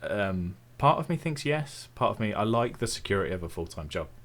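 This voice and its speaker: Deep-voiced relaxed man with Midlands accent. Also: fairly quick. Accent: English Midlands. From English Midlands. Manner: relaxed, chatty, self-deprecating. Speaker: tech YouTuber guest. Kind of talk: casual interview chat.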